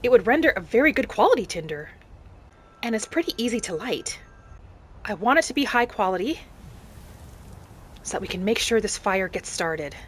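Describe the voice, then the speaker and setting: A woman playing a gentle, earnest female wood-elf adventurer, musing aloud as she narrates a roleplay game in character. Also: mid-range voice, big pitch swings, moderate pace, long pauses, slight background noise, natural voice. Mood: eager, pleased.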